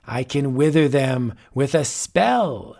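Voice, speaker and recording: A man's voice: medium pitch, animated, takes it slowly, gravelly, a little mellow. A man voicing a grandiose, unhinged old male warlock who rants theatrically and rises to shrieks. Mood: gloating. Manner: ranting, theatrical, rising to shrieks.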